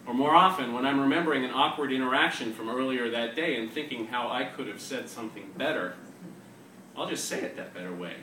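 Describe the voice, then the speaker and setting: A man's voice: mid-range voice, fairly quick, bright, forceful tone. Wry man at an echoey live reading. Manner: wry, self-deprecating humour. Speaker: co-host of a reading series, poet. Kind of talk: live announcements and poetry reading to an audience. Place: indoor live reading venue with audience. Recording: echoey room, distant mic, noisy live audience.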